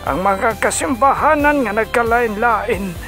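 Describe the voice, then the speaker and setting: A man's voice: extremely high pitch, sharp tone, soft background music, full character voice. A man playing a solemn commander mourning a fallen hero in an audio drama. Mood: solemn, regretful. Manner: solemn, deliberate.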